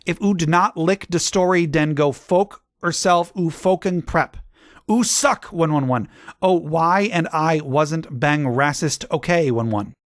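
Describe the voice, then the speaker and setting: A man's voice: measured pace, dry recording, studio quality, natural voice. A man voicing a ranting, angsty teenage girl fanfic author who lisps and swears. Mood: indignant. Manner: shrill, lisping "oo" for "you", swears.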